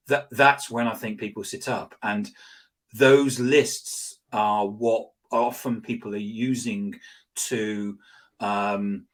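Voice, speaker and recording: A man being interviewed as a guest expert, telling anecdotes in a rambling, animated way with lots of restarts and fillers. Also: on the low side. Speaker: guest, social selling expert. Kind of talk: conversational interview storytelling.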